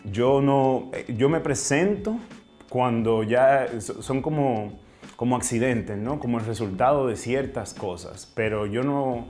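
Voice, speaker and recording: A man's voice: mid-range voice, measured pace, darkish tone, soft background music. A man with a Dominican Spanish accent talking reflectively in an interview, often searching for words and ending phrases with question tags. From Dominican Republic. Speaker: writer and interview guest.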